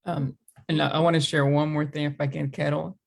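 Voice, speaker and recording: Gravelly young guy kinda high-voiced, talks slow and searching, lots of ums. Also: flat delivery. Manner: halting, searching for words, many fillers. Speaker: podcast co-host and teacher. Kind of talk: casual discussion.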